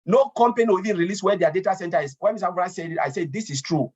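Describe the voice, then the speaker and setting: A man's voice: sits high, rapid-fire, slightly rough. A man on a panel explaining things, restarting and repeating himself as he goes. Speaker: panel guest. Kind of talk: casual panel chat. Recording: dull lo-fi sound.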